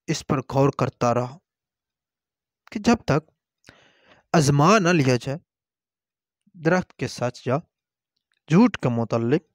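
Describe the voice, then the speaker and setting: A male storyteller narrates a moral folk tale in a fairly high voice. He goes very slowly, breaking sentences into short measured phrases. The sound is dry, with no room echo. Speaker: storyteller and channel host.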